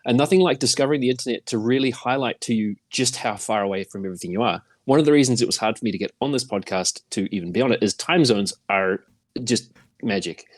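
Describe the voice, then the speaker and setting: A man's voice: medium pitch, fast, dry recording, clean studio sound. A middle-aged man with a New Zealand accent chatting casually as a podcast guest, in a rambling, self-deprecating way. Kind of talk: casual chat.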